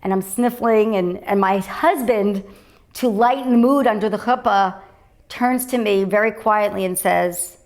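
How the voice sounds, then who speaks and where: A woman's voice: medium pitch, a little slow, darkish tone. A woman telling a personal wedding story in a candid, chatty way, with fillers. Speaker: interviewee.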